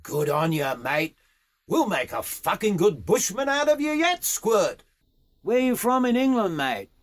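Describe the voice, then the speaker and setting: A man voicing a gruff, foul-mouthed riding boss who barks blunt orders and goads. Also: sits high, big pitch swings, measured pace, pitched above the performer's own voice. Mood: rough approval. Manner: loud, barking, swearing, blunt.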